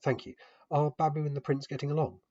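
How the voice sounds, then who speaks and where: A man's voice: fairly high pitch, fairly even intonation, fast talker, mellow, highs cut off, pitched above the performer's own voice, natural voice. A man playing a modest tribesman warrior far from home, speaking awkwardly and hesitantly in a fantasy audio story. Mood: polite.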